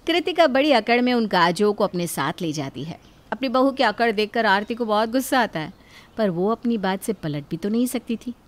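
A woman's voice: medium pitch, expressive, brisk. A woman narrator telling a cartoon story in scripted storytelling style.

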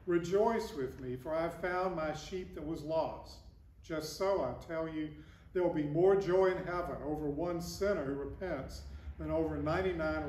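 A man's voice: a little high, darkish tone. An older man, a priest, reading the gospel and giving a conversational sermon with broad gestures, recorded by a far camera in a large wooden church. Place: large wooden church with stained glass, indoors. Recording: echoey church from a distance.

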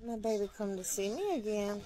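A woman's voice: mid-range voice, animated, brisk, warm tone. A woman filming with her phone, talking to her pet in cooing baby talk. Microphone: phone camera.

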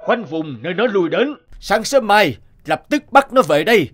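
A man voicing a tyrannical middle-aged king, imperious and scolding, in an animated fairy tale. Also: high voice, normal speed. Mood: menacing.

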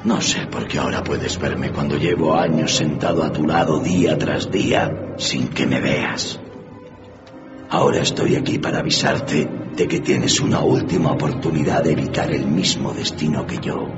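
Very deep, mournful ghost. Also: male voice, steady tone. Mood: grave warning. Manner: solemn, lamenting, drawn-out emphatic delivery. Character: tormented ghost of a dead miserly businessman, remorseful. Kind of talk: radio drama acting. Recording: dull muffled recording under loud music.

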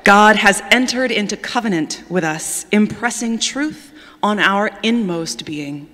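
A woman leading worship, addressing a congregation in a measured, deliberate way from a pulpit microphone in a large church. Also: medium pitch, expressive, sharp tone, a little hiss or hum. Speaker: worship leader. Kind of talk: liturgical reading and welcome to congregation. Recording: long church reverb.

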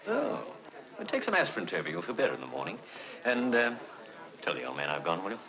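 An actor playing a suave secret lover in a 1950s film, smooth-talking and drily sardonic. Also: male voice, sits low, huge pitch swings, quick pace, a crowd in the background, exaggerated voice. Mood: dry, nonchalant. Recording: muffled old film soundtrack, music behind.

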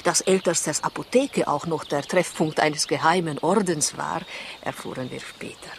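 A poised female spy in an old radio thriller. She coaxes and gently talks down to her listener. The 1960s recording has a faint layer of noise. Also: low-pitched, normal speed. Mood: confiding, matter-of-fact. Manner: coaxing, condescending endearments. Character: poised female Russian spy, coaxing and patronizing. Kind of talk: 1960s German radio crime play acting.

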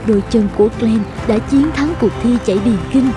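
A woman's voice: a little high, brisk, music in the background, natural voice. A woman voicing a proud, loving mother of a young boy in a cartoon. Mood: proud, joyful. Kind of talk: Vietnamese cartoon dubbing.

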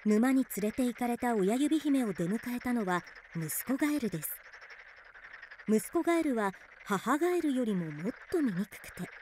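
High-pitched, expressive woman narrator. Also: moderate pace, slight background noise. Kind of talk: scripted storybook narration for radio drama.

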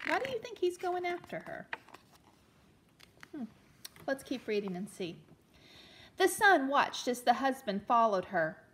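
A woman reading a storybook aloud to young children as a teacher, expressively, pausing to explain and ask questions. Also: medium pitch, a bit bright. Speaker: elementary teacher reading a picture book aloud.

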